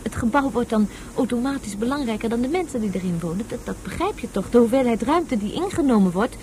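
Mellow, animated woman tutor, an actress's role. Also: fairly high pitch, fast talker, slight background noise, lightly acted. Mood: emphatic. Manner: didactic, storytelling to a child. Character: patient, explaining woman tutor of a young boy. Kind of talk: Dutch radio play acting.

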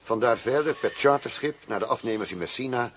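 An actor playing a cool, demanding arms buyer in a radio drama, formal, firm and self-assured. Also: male voice, medium pitch, a little fast, natural voice. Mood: firm, businesslike. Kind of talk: Dutch radio drama acting. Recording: noisy old radio drama recording.

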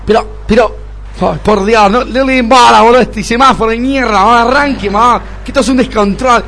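A man's voice: very high voice, fairly quick, bright, forceful tone, slight background noise, heavily acted. A man playing a spoiled, snobbish young Argentine businessman in a radio comedy-drama, whining, stammering and repeating himself. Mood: angry, panicked. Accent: Argentine (Rioplatense).

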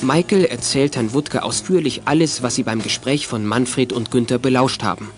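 A man's voice: mid-range voice, moderate pace, soft background music. A man narrating a children's radio drama in scripted storytelling style.

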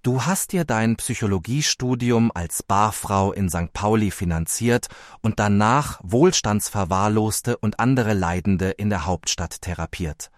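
A low male voice in a dry recording, an actor as a solemn interviewer in a satirical radio play. He puts his questions in an encouraging, serious way. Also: measured pace, no echo, natural voice. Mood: inquiring.

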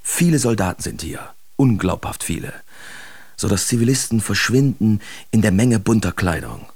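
An actor playing a sardonic, self-absorbed bohemian letter writer in a literary radio drama, with theatrical, deliberate diction. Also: male voice, fairly low pitch, sing-song, gravelly, darkish tone, a little hiss or hum. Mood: wry, mocking. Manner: theatrical, deliberate, ornate diction with pointed emphasis.

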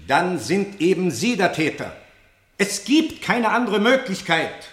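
An actor playing a stern military general who interrogates in a probing, sardonic and authoritative way in a radio play. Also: male voice, sits high, big pitch swings, unhurried, a bit bright, heavily acted. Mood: stern, accusing. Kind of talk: German radio play acting. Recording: roomy, echoing studio radio play.

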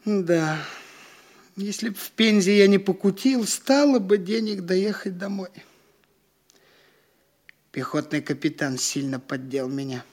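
An actor playing a boastful, whining, penniless young dandy in an audio drama, theatrical and excitable, by turns wheedling and petulant. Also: male voice, high-pitched, a little slow, pauses often. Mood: hungry, grumbling.

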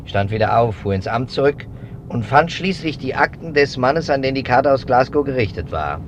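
An actor playing a methodical, suspicious investigator in a 1950s German radio drama, speaking deliberately in emphatic, clipped phrases. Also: male voice, mid-range voice, animated, close to an everyday voice. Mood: intent, brooding. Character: persistent adult male investigator, methodical and suspicious. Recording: dull, noisy 1950s radio recording.

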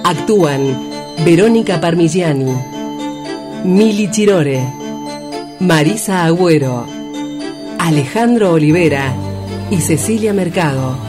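A woman's voice: lowish voice, expressive, takes it slowly, pauses often. A woman radio announcer giving a formal, scripted programme introduction. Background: music.

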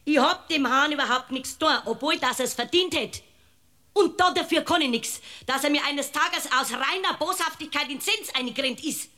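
An actress playing an indignant, gossipy parish housekeeper with a rural Bavarian accent in a radio drama, garrulous and self-righteous. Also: female voice, high-pitched, moderate pace, bright, forceful tone. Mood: defensive, indignant. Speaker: actor. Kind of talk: German radio drama acting.

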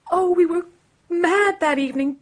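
A woman's voice: extremely high pitch, moderate pace. An actress playing an anxious, earnest young woman suspected of murder in a radio drama. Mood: distressed, earnest.

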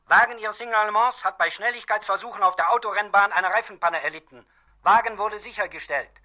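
An actor playing an impersonal police report announcer, official and clipped, in a 1960s radio play. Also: male voice, high-pitched, a little fast, sharp tone. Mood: urgent, impersonal.

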